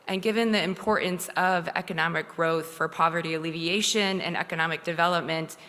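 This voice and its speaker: A woman's voice: on the low side, a bit bright. A woman asking a composed, businesslike question from the floor at a conference. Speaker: audience member asking a question. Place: large conference hall. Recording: long hall reverb.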